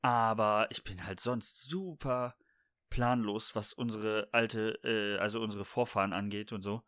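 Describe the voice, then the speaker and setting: A man's voice: on the low side, fairly even intonation, unhurried, a bit bright, no echo, studio quality. A man chatting casually as a podcast co-host, joking and rambling with frequent restarts. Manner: playful, jokey, rambling with many restarts. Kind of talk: casual chat.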